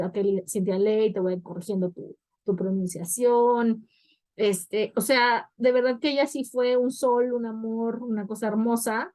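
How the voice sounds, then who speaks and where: A woman's voice: a little high, a little mellow. A young woman, co-hosting a podcast and reflecting on her past in casual talk, often with "o sea". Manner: reflective, many fillers like "o sea". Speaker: podcast co-host.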